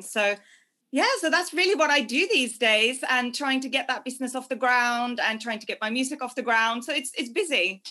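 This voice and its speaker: A young woman chatting as a podcast guest, warm and talkative, laughing easily. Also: a little high. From Norway. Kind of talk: casual interview chat.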